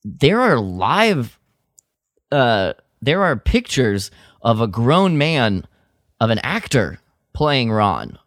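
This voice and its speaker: A man's voice: mid-range voice, sing-song, slow, pauses often, a bit bright, no room sound, studio quality. A man co-hosting a casual fan podcast, talking in a conversational style. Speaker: podcast co-host.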